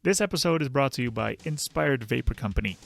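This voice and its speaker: A man's voice: mid-range voice, expressive, fast, creaky. A man reading an informal sponsor message as a podcast host. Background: faint guitar music.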